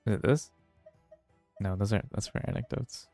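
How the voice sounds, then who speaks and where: A man's voice: on the low side, moderate pace, gravelly, warm tone. A man giving offhand, slangy commentary while playing a game, often muttering to himself. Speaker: game streamer. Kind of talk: casual gameplay commentary. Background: game music.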